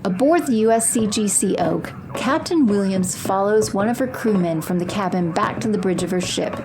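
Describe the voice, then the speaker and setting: A woman's voice: medium pitch, normal speed, noisy background. A woman reading scripted third-person narration for an audio drama.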